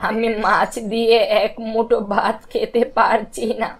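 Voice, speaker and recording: Woman playing a slow-talking old village woman. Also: fairly high pitch, little pitch movement. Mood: plaintive. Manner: slow, plaintive muttering to herself. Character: poor, plaintive but crafty old village woman. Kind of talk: cartoon fairy-tale voice acting.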